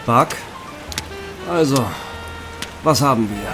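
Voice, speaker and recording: A weary, sardonic police detective in an audio drama, acted by a man. He grumbles slowly, with pitch swinging widely, over music and rain. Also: expressive. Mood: tired, reluctant. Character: weary, grumpy male police detective, easily exasperated. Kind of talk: German audio drama acting.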